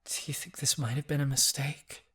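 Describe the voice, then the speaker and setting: A man voicing a calm young woman who speaks softly and evenly. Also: medium pitch, brisk, slightly rough, no echo, studio quality, pitched above the performer's own voice. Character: gentle, level-headed young woman.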